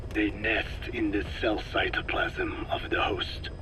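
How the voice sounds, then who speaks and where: An actor playing a frail old scientist in a video game, speaking slowly and precisely in a weak, breathy rasp. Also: male voice, fairly low pitch, level tone, background noise. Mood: patient, explaining. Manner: slow, deliberate, precise, scientific. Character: frail old male scientist explaining his work, measured and grave. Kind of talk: dramatic game voice acting, expository dialogue.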